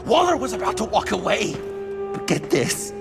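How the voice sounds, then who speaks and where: An unhinged, gushing clown vigilante, very high-pitched with a creaky edge. He is theatrical and over-eager in a chummy way, a game character over loud music. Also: big pitch swings, moderate pace, full character voice. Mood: chummy, manic. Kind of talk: video game voice acting.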